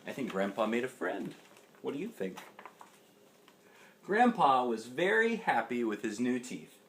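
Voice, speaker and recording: A man's voice: mid-range voice, very animated, unhurried, fairly bright tone, a little hiss or hum. A man reading a children's picture book aloud as a dad would, with dramatic emphasis and chatty questions to the listener. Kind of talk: expressive children's storybook narration with chatty asides.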